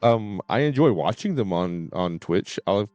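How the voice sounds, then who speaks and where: A man's voice: fairly low pitch, expressive, unhurried, a little mellow, no room sound, muffled recording, soft background music. A man streaming games and chatting casually in a hesitant, rambling way. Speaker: game streamer. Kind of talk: casual livestream chat.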